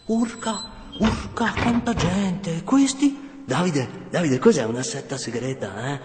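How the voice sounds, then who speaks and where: An actor playing a naive, excitable boy and his wry grown self in a reverberant theatrical radio monologue. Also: on the high side, a little slow, background noise. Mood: bewildered. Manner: excitable, repeats words, comically bewildered. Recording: echoey hall reverb, faint music.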